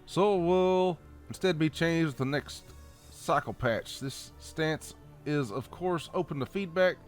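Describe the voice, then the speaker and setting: A man with a Southern American accent reads update notes aloud in a folksy, halting way, adding off-the-cuff comments. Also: fairly high pitch, soft background music. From Southern United States. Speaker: gaming channel host.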